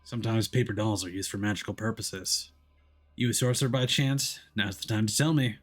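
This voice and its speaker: A man voicing a gruff, world-weary detective who talks in a terse, dry, guarded way for a video game story. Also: on the low side, fairly even intonation, brisk, close to an everyday voice. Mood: dry, playful.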